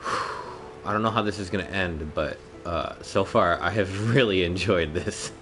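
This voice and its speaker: Low, slow-talking sweary male streamer. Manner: crude, sweary, slangy. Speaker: game livestreamer. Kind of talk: casual game stream commentary. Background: game music.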